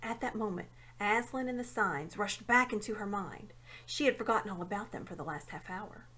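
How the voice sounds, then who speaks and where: A woman giving an expressive read-aloud narration of a children's fantasy story. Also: medium pitch, normal speed, slightly rough. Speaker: storybook reader.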